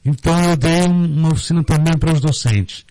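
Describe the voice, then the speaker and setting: A middle-aged man with a Brazilian Portuguese accent, explaining in a casual radio interview in a halting way, often repeating words. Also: a little high, warm tone, low-quality recording. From Brazil, born in Rio de Janeiro, raised in Recife. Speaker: guest, storyteller and theatre group director. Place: radio studio, dry close sound.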